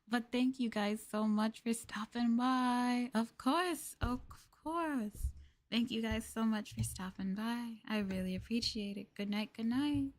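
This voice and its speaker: A young American woman streaming in a soft-spoken ASMR maid roleplay, calling her listeners master and speaking of herself as the maid. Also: a little high, slow pace. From United States. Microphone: close ASMR microphone.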